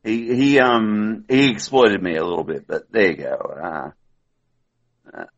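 A man's voice: fairly low pitch, unhurried, muffled recording. A man who has had a few glasses of wine, rambling loosely and laughing as a guest on a call-in show from his balcony. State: tipsy from wine. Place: on a balcony at home.

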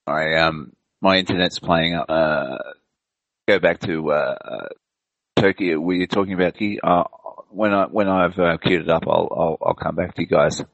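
A very deep-voiced man with a gravelly, creaky sound hosts a call show and rambles loosely between restarts. The call audio is dry and lo-fi. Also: normal speed, no echo. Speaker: podcast host. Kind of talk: casual online chat. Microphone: video call.

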